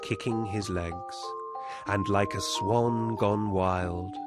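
A man giving a scripted storytelling performance in a deliberate, measured manner. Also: lowish voice, steady tone. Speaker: performer and narrator. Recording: loud music over the voice. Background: prominent music.

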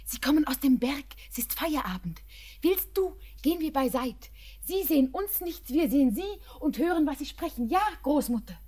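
A young actress playing a tender, happy bride-to-be in a classic radio play, speaking softly and lyrically. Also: female voice, high-pitched, big pitch swings, moderate pace. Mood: eager.

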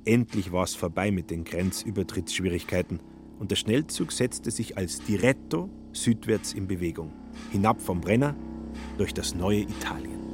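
A man with a lowish voice narrates scripted literary prose. Faint music runs under his reading. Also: normal speed.

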